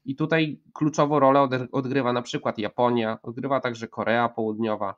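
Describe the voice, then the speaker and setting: A man in his thirties giving a measured geopolitical analysis over a video call from a room at home, with many hesitations and restarts. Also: medium pitch, little pitch movement. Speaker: geopolitics commentator. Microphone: video call, earphones with mic. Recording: slightly dull lo-fi call audio.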